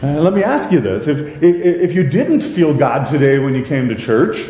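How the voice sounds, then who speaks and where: Deep-voiced pastor preaching in an echoey hall. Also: male voice, very animated, moderate pace, mellow. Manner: conversational, self-deprecating humor, many rhetorical questions. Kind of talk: sermon. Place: church sanctuary with a stage. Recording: echoey church hall, light rumble.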